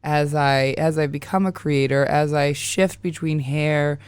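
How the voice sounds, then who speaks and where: A woman in her thirties hosting a podcast, hoarse and raspy while getting over a sinus infection, chatting casually and laughing often. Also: low-pitched, steady tone, a little slow, darkish tone, dry recording. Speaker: podcast host. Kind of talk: casual chat.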